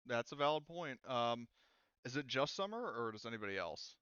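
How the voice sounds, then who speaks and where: A man running a tabletop roleplaying game, explaining things in a halting, casual, conversational way. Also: mid-range voice, animated, fairly bright tone, clean tone, no room sound, muffled recording. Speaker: game master.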